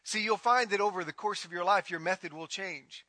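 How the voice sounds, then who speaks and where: A man's voice: high-pitched, fairly quick, pushed and bright, no room sound, studio quality. A man preaching a sermon, emphatic and repetitive as he drives each point home. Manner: emphatic preaching, repeating phrases. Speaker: pastor.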